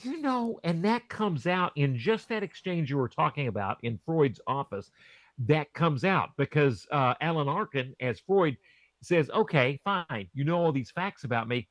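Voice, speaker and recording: A man's voice: a little high, expressive, moderate pace, a bit bright, dry recording. A man in casual podcast chat, enthusiastic, often repeating words and restarting his sentences.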